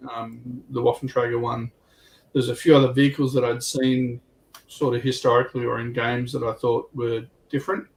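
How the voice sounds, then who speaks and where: A man's voice: medium pitch, creaky, low-quality recording. A man chatting casually as a contributor on a hobby livestream. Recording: steady faint background noise.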